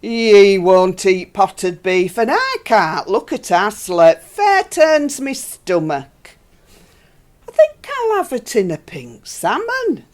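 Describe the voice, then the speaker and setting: A woman's voice: mid-range voice, big pitch swings, takes it slowly, a little mellow. A woman acting a weary, put-upon Yorkshire housewife in a radio play, with a dry, grumbling way of talking. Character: weary, put-upon married northern English housewife, unimpressed.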